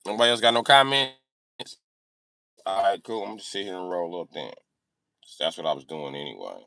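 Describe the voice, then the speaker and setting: A man's voice: sits low, animated, moderate pace, creaky, bright, forceful tone, dry recording. A man chatting casually on a livestream in a brash, joking, slangy way with lots of swearing. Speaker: livestream host reading and answering comments. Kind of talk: casual profane livestream chat.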